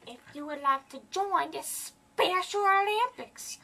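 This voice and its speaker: A man's voice: very high voice, a little slow, creaky, pushed and bright, voice pushed up, full character voice. A young man playing a chirpy, politely insistent phone canvasser who keeps repeating himself. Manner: polite, repetitive pestering. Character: chirpy, insistent Special Olympics caller named Ken. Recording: noisy home camcorder recording.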